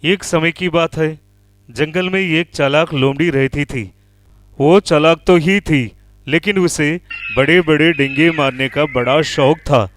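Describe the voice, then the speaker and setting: A man's voice: fairly high pitch, measured pace, fairly bright tone, faint music behind. A man narrating a scripted children's animal story.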